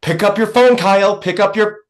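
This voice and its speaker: A woman acting a harried, panicky press secretary in a comedy table read, excitable and dry by turns. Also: medium pitch, normal speed, natural voice. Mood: panicked. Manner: excitable, swinging from dry to shrieking.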